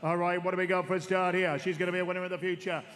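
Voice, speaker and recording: Fast-chanting auctioneer in an echoing ring. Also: male voice, high voice, little pitch movement, fairly bright tone. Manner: rhythmic repetitive bid chant, coaxing the room. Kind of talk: rapid bloodstock auction calling. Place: horse sales ring. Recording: long reverb of a sale ring.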